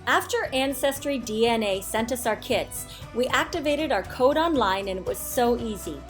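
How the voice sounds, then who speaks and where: A woman's voice: fairly high pitch, expressive, moderate pace, soft background music. A woman from Canada reading an upbeat, scripted voice-over for a travel vlog. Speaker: travel vlogger narrator.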